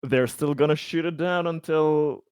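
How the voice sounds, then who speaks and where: A man's voice: on the high side, steady tone, a little slow, darkish tone, clear, resonant tone, dry recording, clean studio sound. A man playing a tabletop game, making casual, wry remarks.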